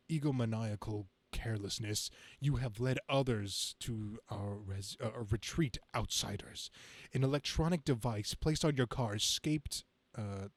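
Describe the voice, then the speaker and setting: Imperious comic-book cult leader read by a man, stern, pompous, slightly lowered pitch, close dry sound. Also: darkish tone, dry recording. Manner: pompous, commanding, stumbling over the script.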